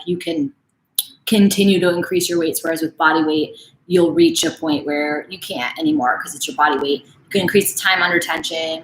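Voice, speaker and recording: A woman's voice: on the low side, a bit bright, reverberant, low-quality recording. A woman in her late twenties, a fitness coach casually answering viewer questions in a live stream, full of "like" and "um" fillers. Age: late 20s. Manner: casual, chatty, frequent "like" and "um" fillers.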